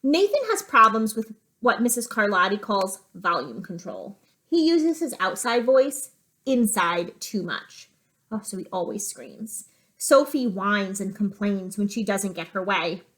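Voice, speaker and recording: A woman's voice: medium pitch, expressive, measured pace, a bit bright. A woman teacher giving a reading lesson for young children, reading a picture book aloud and explaining it in simple terms. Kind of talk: reading lesson with storybook read-aloud and asides.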